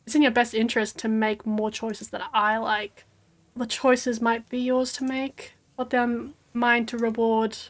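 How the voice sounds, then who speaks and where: Aussie woman reading a cryptic ocean guide: measured, portentous, slightly high. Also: little pitch movement, lightly acted. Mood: mysterious. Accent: Australian. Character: the ocean as a vague, mysterious guiding voice.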